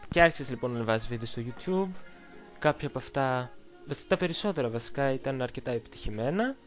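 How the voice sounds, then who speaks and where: A woman's voice: a bass voice, expressive. A woman asking questions as the interviewer in a casual chat. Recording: loud music behind the voice. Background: music.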